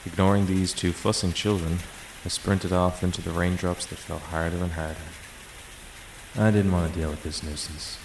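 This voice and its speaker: A man's voice: sits low, level tone, moderate pace, vocal fry, background noise. A man playing a weary, aloof math teacher, narrating and talking in a dry, resigned way for a visual novel fandub. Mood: mildly annoyed. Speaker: narrator and lead character. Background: music and rain sounds.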